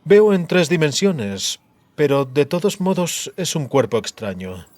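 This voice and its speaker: Cruel male sorcerer, acted by a man, menacing, coldly gloating, theatrical, measured, fantasy audiobook villain, dry sound. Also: fairly high pitch, big pitch swings, a little mellow, no room sound. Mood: cold, resentful.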